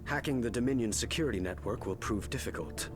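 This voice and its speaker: An actor playing a proud, composed prince in a video game, formal and measured in manner. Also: male voice, medium pitch, over music, natural voice. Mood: haughty formality.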